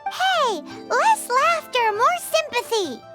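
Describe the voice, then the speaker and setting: A woman voicing a tiny, sassy childlike companion in a video game, blunt, pert and quick to be indignant. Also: extremely high pitch, very animated, exaggerated voice. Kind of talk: video game dialogue acting. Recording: music playing behind. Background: background music.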